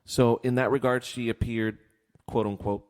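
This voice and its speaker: A man's voice: medium pitch, steady tone, moderate pace, darkish tone. A man co-hosting a talk podcast, chatting casually and giving his opinions with emphasis. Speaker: podcast co-host. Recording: long reverberant echo, hall-like room.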